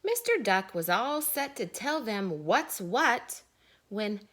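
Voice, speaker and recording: A woman, a teacher, reading a children's story aloud with deliberate dramatic pauses. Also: medium pitch, very animated, noisy background.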